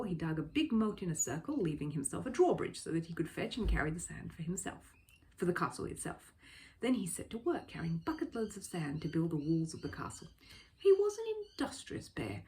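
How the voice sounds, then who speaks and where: Female storybook reader: expressive narration, medium pitch, very animated melody. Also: slight background noise.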